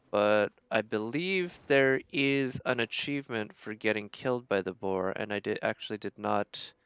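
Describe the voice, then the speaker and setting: A man's voice: on the low side, a bit bright, clear, resonant tone, no room sound, muffled recording. A man giving casual, rambling gameplay commentary as a streamer, thinking aloud as he plays. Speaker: game streamer.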